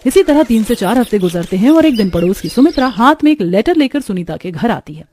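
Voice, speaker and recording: High-pitched woman narrating a story. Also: big pitch swings, a little fast, mellow, a little hiss or hum. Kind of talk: scripted narration of a Hindi cartoon moral story.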